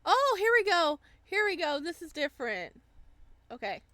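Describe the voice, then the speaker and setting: A woman hosting a let's play, casually commenting and reacting with expressive, emotional exclamations. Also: extremely high pitch, normal speed, no echo. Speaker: let's-play host reading a visual novel aloud.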